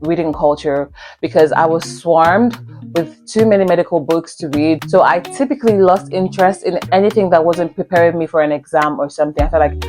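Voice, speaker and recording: A young woman from Nigeria with a Nigerian accent, talking earnestly to camera on her first vlog and repeating herself for emphasis, with faint music behind. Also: low voice. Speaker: vlogger. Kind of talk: casual talk to camera.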